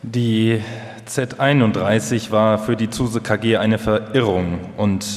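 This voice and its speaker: A man voicing a reflective computer pioneer looking back, measured and hesitant, in a live documentary feature. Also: on the low side, fairly even intonation. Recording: hall reverb, live stage reading.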